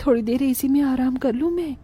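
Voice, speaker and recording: A woman voicing a dutiful, gentle young daughter-in-law in an animated moral tale, earnest and caring. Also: high-pitched, brisk, breathy edge. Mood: weary, pleading.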